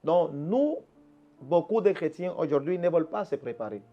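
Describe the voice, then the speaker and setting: A man's voice: a little high, sing-song, normal speed, dull audio, soft background music. A man, a pastor, answering interview questions in an earnest, preaching manner, often repeating words as he restarts a phrase. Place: Quebec.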